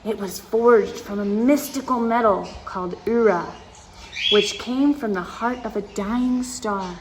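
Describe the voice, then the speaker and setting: A woman's voice: medium pitch, unhurried, noisy background. A woman reading a picture book aloud to children, with playful asides and dramatic emphasis, speaking straight to her young listeners.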